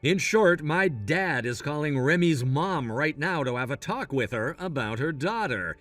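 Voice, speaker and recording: A supportive young groom, played by a man in a melodrama, earnest and take-charge. His voice sits a little high with animated swings, recorded dry over soft music. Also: measured pace. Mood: explaining. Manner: reassuring, earnest. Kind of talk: scripted melodrama story dub.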